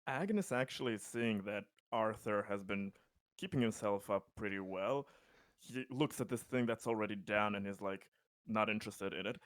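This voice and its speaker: A man's voice: on the low side, normal speed, no echo. A man playing a tabletop roleplaying game, talking casually about the play.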